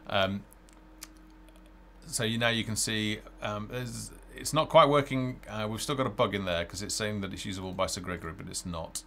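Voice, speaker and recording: A man's voice: fairly low pitch, moderate pace, fairly bright tone, slight background noise. A middle-aged man giving casual live commentary on a game he develops, hesitant and thinking aloud as he plays, on a headset. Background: game music.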